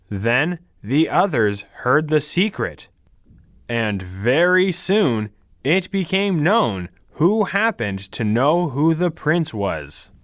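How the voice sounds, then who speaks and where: A man reads storybook prose and single words for language learners, extremely slowly and deliberately. His pitch moves widely as he goes, each word is carefully measured, and the sound is close and dry. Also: fairly bright tone, no room sound. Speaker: English reader for a vocabulary lesson. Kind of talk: slow, careful scripted reading of words and storybook narration.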